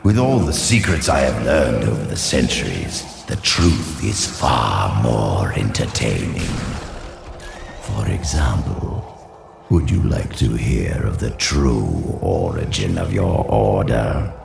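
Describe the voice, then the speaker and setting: A man voicing an ancient, scheming sorcerer who speaks slowly and condescendingly with a deep, gravelly voice. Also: lively intonation, background noise, full character voice. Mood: mocking. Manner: slow, measured, condescending. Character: ancient scheming male Horadric sorcerer, silky and proud. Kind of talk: video game cutscene dialogue. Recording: roomy game mix with music.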